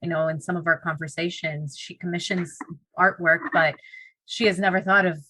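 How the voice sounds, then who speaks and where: Low-voiced earnest woman, Punjabi native. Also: fairly even intonation, moderate pace, sharp tone, dry recording, poor audio. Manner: earnest, with frequent restarts and fillers. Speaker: teacher and co-author. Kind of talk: podcast interview conversation.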